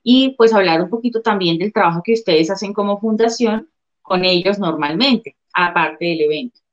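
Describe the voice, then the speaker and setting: A woman's voice: medium pitch, normal speed. A woman in her thirties asking interview questions as a journalist over a video call. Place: living room at home, indoors. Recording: dull video-call audio.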